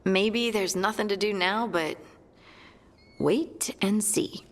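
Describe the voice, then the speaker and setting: A woman's voice: mid-range voice, slow pace, in a large hall, natural voice. A woman playing an earnest, plucky young scout in a fantasy video game, with a conversational manner. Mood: troubled, earnest. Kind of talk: naturalistic video game acting.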